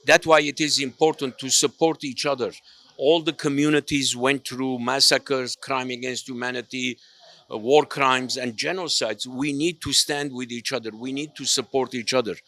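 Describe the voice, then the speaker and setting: A man's voice: mid-range voice, fairly even intonation, moderate pace, sharp tone. A man, a provincial legislator, answering formally in a speech-like way. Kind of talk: event interview answer.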